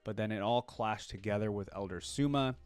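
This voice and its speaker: A man's voice: lowish voice, brisk, darkish tone, clean tone. A man hosting a reaction video, giving casual commentary and musing aloud as he goes. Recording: game audio and music behind.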